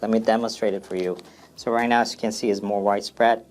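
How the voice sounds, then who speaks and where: A man's voice: lowish voice, steady tone, fast talker, darkish tone. A man presenting an informal product FAQ demonstration in a plain, step-by-step instructional way.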